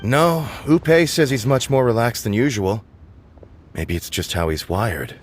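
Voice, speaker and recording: A man's voice: mid-range voice, a little slow, slight background noise, lightly acted. A man voicing an earnest, dutiful male subordinate reporting in a game. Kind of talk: game dialogue.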